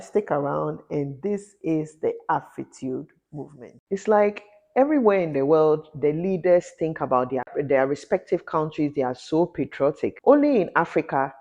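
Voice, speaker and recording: A woman from Ghana, a fashion designer, giving an impassioned commentary vlog that often rises into a rant. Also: darkish tone. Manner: impassioned, ranting, rising in pitch when indignant. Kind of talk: talking-head commentary vlog.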